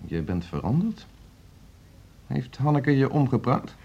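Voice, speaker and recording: An actor playing an indignant, hard-line music teacher in a radio drama, combative, curt and aggrieved. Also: male voice, mid-range voice, sing-song, measured pace, vocal fry, dark tone, slightly rough, lo-fi sound, full character voice. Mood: wounded, accusing. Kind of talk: Dutch radio drama acting.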